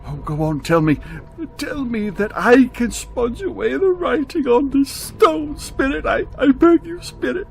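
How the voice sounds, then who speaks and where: A man's voice: very high voice, lively intonation, vocal fry, darkish tone, faint music behind, exaggerated voice. A man playing a frightened, repentant old miser in a radio drama, stammering and pleading as he draws out his words. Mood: desperate pleading. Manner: stammering, pleading, drawn-out delivery. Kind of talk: theatrical radio drama acting.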